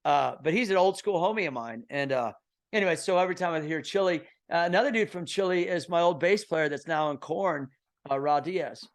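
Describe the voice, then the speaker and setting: A middle-aged man from Kansas City hosts a relaxed podcast chat. His voice sits a little high for a man, and he talks in an excitable, slang-heavy way, calling his guest "bro" and "dude.". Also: brisk. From Kansas City, USA. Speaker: podcast host. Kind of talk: casual interview chat.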